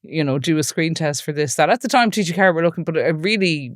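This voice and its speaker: A woman's voice: low voice, expressive, quick pace, dry recording, studio quality. A woman in her forties from the west of Ireland, an Irish speaker, with an Irish accent, chatting as an interview guest and telling stories full of asides. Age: 40s. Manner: chatty storytelling, many "like" and "you know" asides. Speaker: film producer, interview guest. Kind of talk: relaxed podcast interview.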